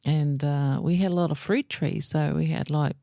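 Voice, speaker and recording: A woman's voice: deep voice, fairly even intonation, gravelly, warm tone, no room sound, clean studio sound. A middle-aged woman from New Zealand with a New Zealand accent, fondly reminiscing about her childhood as a guest on her son's podcast. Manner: unhurried, reminiscing, fond. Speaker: guest, host's mother. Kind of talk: casual interview.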